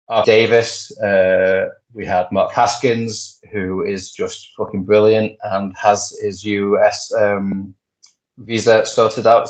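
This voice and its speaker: A man co-hosting a wrestling podcast, rambling casually with lots of fillers and restarts. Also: lowish voice. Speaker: podcast co-host. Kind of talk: casual wrestling news chat. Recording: muffled lo-fi podcast audio.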